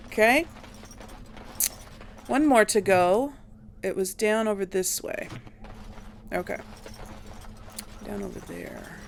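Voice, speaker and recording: A woman's voice: fairly low pitch, gravelly, very noisy. A woman doing casual video game commentary, musing aloud and questioning herself as she plays. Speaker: let's play host.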